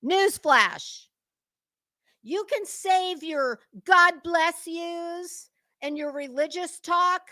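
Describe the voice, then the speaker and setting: A woman's voice: very high-pitched, drawn-out words, bright, forceful tone. A woman giving an unscripted commentary rant to her viewers, blunt, sweary and confrontational, leaning hard on emphatic words. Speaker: online commentary creator.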